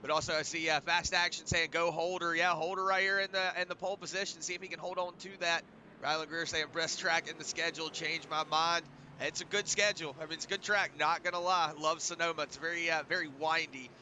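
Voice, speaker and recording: A man's voice: fairly high pitch, fairly even intonation, fairly quick, sharp tone, slight background noise. A man feeling sick and under the weather, casually commentating a sim race and reading out viewer comments. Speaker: sim racing broadcast co-host.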